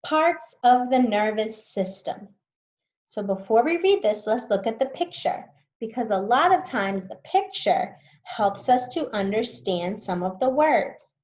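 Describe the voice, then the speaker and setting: Slow, patient woman teacher reading aloud. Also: on the high side, lively intonation, a little mellow, lo-fi sound. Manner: slow, patient, explains words as she goes. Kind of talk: reading a science book aloud to students.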